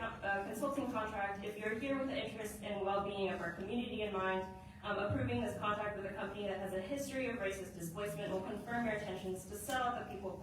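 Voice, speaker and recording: A woman community organizer giving an earnest, measured public comment in a city council chamber. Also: mid-range voice, noisy background. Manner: earnest, measured, with some fillers. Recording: echoey hall from far off.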